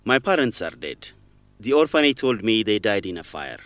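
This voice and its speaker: A man's voice: fairly low pitch, big pitch swings, moderate pace, fairly bright tone, no echo. A man acting a serious, direct young student in a radio drama. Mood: guarded. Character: serious, guarded young Ethiopian student, an orphan.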